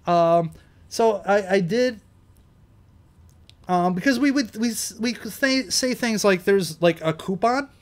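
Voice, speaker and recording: Casting: young Midwestern guy, casual vlog host, rambling and self-correcting, a touch high-pitched. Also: lively intonation, a little slow. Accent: Midwestern American. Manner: rambling, self-correcting, swears, laughs at himself. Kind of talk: casual solo commentary, reading quiz items aloud.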